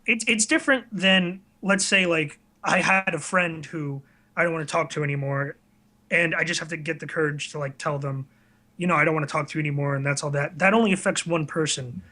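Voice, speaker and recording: A man's voice: fairly high pitch, fairly quick, bright, forceful tone. A nineteen-year-old man chatting casually as an interview guest, candid and hesitant as he reflects on himself. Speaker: interview guest, online video creator. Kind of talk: casual chat.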